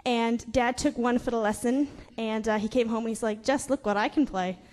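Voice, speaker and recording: A woman's voice: fairly high pitch, normal speed, darkish tone. A young woman in a family band chatting casually as an interview guest, giggly and peppering her talk with "you know". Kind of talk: casual onstage interview chat.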